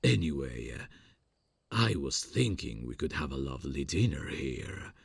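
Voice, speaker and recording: A man voicing a lovesick, childlike, awkward slasher killer in a horror-parody ASMR roleplay, halting and shy in a gravelly, husky low voice. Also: big pitch swings, no echo, clean studio sound, exaggerated voice. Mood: halting, pleading. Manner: halting, giggly, shy, slow. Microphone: close dry microphone.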